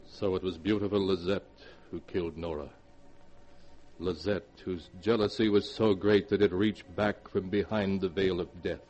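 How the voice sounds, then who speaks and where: A man's voice: low-pitched, fairly even intonation, normal speed, a little mellow, dull audio, soft background music, lightly acted. Man voicing an ominous narrator, foreboding, dramatic, 1940s radio horror. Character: ominous male narrator, keeper of a book.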